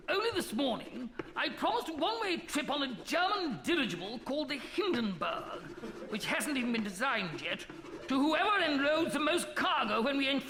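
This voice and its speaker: An actor playing a pompous, blustering upper-class expedition captain in a live radio comedy. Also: male voice, extremely high pitch, creaky, pushed and bright, heavily acted. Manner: blustering, plummy and self-important. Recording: live radio studio with reverb and faint hiss.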